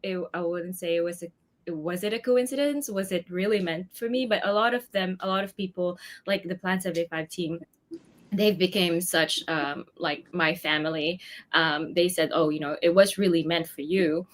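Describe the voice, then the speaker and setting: A woman's voice: lowish voice, normal speed. A young woman from the Philippines, an actress chatting as an interview guest, talking at length with many fillers and frequent laughter. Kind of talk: casual interview chat.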